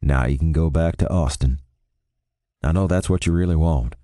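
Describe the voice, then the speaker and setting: A man voicing a smooth-talking Texan man, sad and resigned, with a low drawl. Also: normal speed, mellow, dry recording, studio quality. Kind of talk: audiobook character voice.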